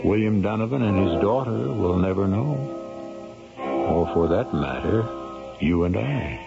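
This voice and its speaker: A man hosting a radio program, delivering scripted narration in a measured, dramatic way. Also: low-pitched, expressive, vocal fry. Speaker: host. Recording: muffled vintage radio broadcast with music.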